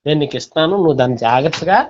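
A man's voice: on the high side, lively intonation, racing. A man with a Telugu accent telling his story step by step in a casual phone conversation, often quoting what was said. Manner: recounts step by step, quoting dialogue. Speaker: phone caller recounting being scammed. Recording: dull lo-fi recorded phone call.

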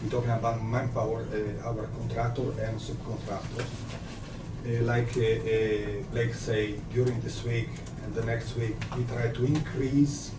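Halting Italian-accented man in an echoey room. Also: mid-range voice, level tone. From Italy. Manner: halting non-native English with repetitions. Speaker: site manager. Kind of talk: progress presentation at a meeting. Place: small indoor meeting room. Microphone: distant camera microphone. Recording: echoey noisy meeting room from far off.

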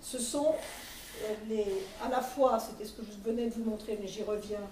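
A woman's voice: mid-range voice, fairly even intonation, normal speed, mellow, a little hiss or hum. A middle-aged woman from France giving a conference talk, with many fillers and restarts, speaking from a panel table in a meeting room. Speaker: conference speaker for a centennial commission. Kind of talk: presentation at a teachers' training day. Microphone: table mic at a panel, filmed from the room. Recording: echoey room from far off.

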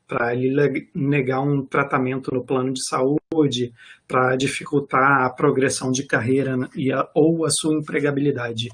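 Husky Brazilian man lecturing on a video call. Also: mid-range voice. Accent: Brazilian Portuguese. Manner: earnest explanatory, frequent hesitations. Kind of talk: online lecture.

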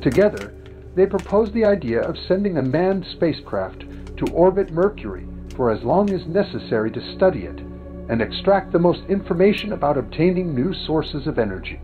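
A man narrates a documentary in formal, scripted phrases, his fairly high voice rising and falling a lot. The sound is narrow and tinny like a phone line, with music underneath. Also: very animated, moderate pace. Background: background music.

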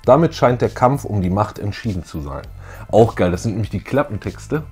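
A man's voice: fairly low pitch, expressive, brisk, a little mellow, slightly rough. A middle-aged man co-hosting a fan show, chatting and reading aloud in an informal way. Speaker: co-host of a retro fan show. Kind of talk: casual chat, some reading aloud. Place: small room full of posters and collectibles.

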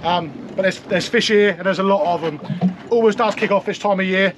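Excitable skipper on a windy boat. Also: male voice, high-pitched, brisk. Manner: encouraging, coaching, excitable. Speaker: fishing boat skipper and vlogger. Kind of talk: casual on-boat coaching and commentary. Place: outdoors on a small boat at sea. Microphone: action camera on the boat. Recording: loud wind and engine noise on deck.

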